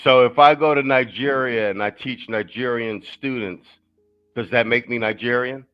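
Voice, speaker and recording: A man's voice: mid-range voice, fairly even intonation, normal speed, smooth, dull audio, faint music behind. A man hosting a casual stream, talking loosely and profanely with lots of restarts. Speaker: stream host. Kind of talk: casual talk-show chat.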